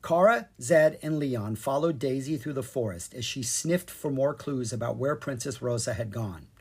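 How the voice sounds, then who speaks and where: A storyteller reading a children's storybook aloud in an expressive, animated way. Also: mid-range voice, moderate pace, slightly rough. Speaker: storyteller, podcast reader. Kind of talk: scripted narration of a children's storybook.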